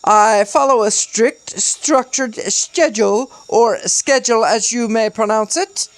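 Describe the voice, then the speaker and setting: Woman putting on a pompous, high-pitched British castaway man. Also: unhurried, no room sound, rough recording. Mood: pompous, theatrical. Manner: pompous, theatrical monologue, over the top. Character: pompous rambling male island castaway lecturer.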